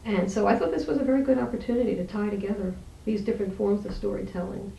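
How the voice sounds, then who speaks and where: A woman's voice: medium pitch, fast, gravelly, mellow, slight background noise. A woman professor as an interview guest, speaking in an articulate, earnest, explanatory way. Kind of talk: thoughtful interview conversation. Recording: roomy, distant microphone.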